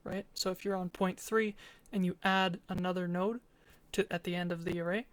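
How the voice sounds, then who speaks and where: Flat-toned woman giving a project presentation. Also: on the low side, normal speed. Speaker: student presenter. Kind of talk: informal presentation with game demo narration.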